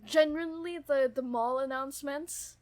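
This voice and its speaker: A young adult woman in casual fan chat as a podcast co-host, animated and enthusiastic, often repeating her phrases. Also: sits high, moderate pace, no echo.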